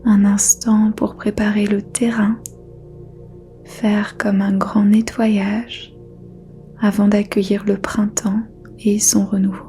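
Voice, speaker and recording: A woman whispering a guided yoga nidra meditation as its teacher, soft and unhurried throughout. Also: medium pitch, flat delivery, soft background music.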